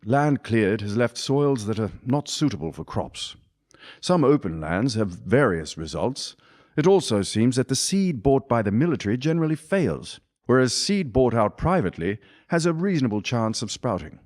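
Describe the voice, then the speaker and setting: A man playing a colonial governor who gives a grave, measured report in a radio play. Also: medium pitch, big pitch swings, darkish tone, lightly acted. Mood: weary. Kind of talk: community radio play acting.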